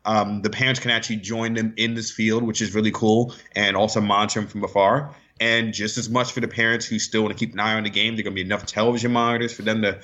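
A man's voice: fairly low pitch, level tone, quick pace, hardly any pauses, bright, forceful tone. A man speaking as a guest sportswriter, explaining things conversationally with frequent asides, over a lo-fi connection.

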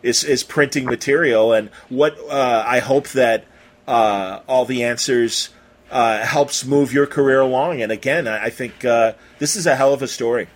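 A man hosting a casual podcast interview, talking in an effusive, rambling, halting way with some mild swearing. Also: medium pitch. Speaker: podcast host interviewing a guest.

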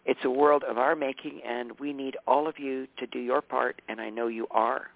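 Hoarse man on a phone line. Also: mid-range voice, fairly even intonation, moderate pace, no room sound. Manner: chatty, warm, self-correcting, laughs often. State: hoarse, tired voice. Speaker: regular guest on a talk show. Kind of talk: casual radio show chat. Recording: dry, phone-line sound.

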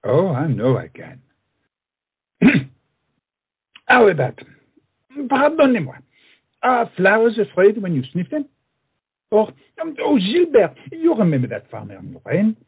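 A flamboyant, high-pitched swordsman. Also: huge pitch swings, a little slow, long pauses, slightly rough, exaggerated voice. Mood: mocking. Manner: theatrical, mocking, peppers speech with French phrases. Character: pompous, flamboyant big-nosed French swordsman, witty and proud. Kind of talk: comic stage play acting. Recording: muffled, tinny stage play recording.

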